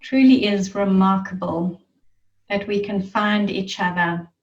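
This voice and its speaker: A woman leading a guided meditation in a soothing, reverent manner, leaving long pauses between phrases. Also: medium pitch, fairly even intonation. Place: online group gathering. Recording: muffled, roomy, distant online-call sound.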